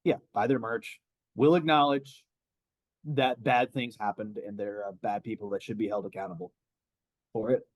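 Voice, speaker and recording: A man co-hosting a wrestling podcast, making a calm, even-handed argument. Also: lowish voice, no echo, poor audio. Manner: measured, reasoning, even-handed. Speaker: podcast co-host. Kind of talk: casual wrestling podcast chat.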